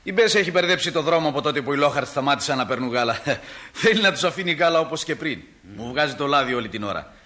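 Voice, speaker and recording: A man's voice: a little high, lively intonation, pushed and bright. An actor playing a folksy, chatty small-town milkman in a radio play. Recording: faintly hissy old radio recording.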